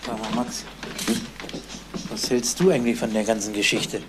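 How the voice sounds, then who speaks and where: An actor playing a calm, methodical police inspector who questions witnesses matter-of-factly in a German crime radio drama. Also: male voice, fairly low pitch, normal speed, noisy background, lightly acted. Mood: matter-of-fact, inquiring. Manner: probing, matter-of-fact questioning.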